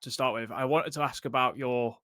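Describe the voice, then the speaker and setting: A man's voice: medium pitch, fairly even intonation, a little fast, sharp tone, dry recording, clean studio sound. A man from England hosting a football podcast in a chatty way, with plenty of fillers and restarts. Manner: chatty, fillers and repeated words. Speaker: podcast host. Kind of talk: casual podcast chat.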